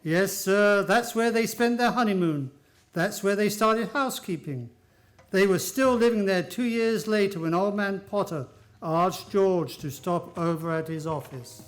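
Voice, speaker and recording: A folksy narrator played by a man with a high voice, telling a radio play story to a companion over soft music. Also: unhurried. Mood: folksy, fond.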